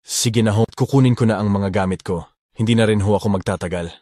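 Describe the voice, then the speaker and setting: A man voicing a young male bodyguard who speaks quietly and with resignation. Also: fairly low pitch, brisk, no echo, studio quality, lightly acted. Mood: resigned. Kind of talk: Tagalog audio drama acting.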